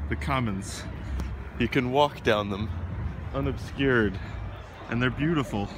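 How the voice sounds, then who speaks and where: A man's voice: medium pitch, unhurried, very noisy. A man in his twenties with an American accent, chatting in an upbeat, casual way for a video diary. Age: 20s. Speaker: vlogger.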